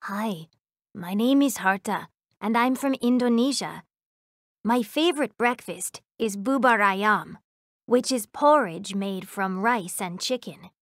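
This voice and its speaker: A woman's voice: mid-range voice, slow, no echo, clean studio sound, natural voice. A woman voicing a friendly young schoolboy, reading scripted textbook lines with careful, simple phrasing. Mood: friendly, informative. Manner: careful, clearly enunciated, simple sentences.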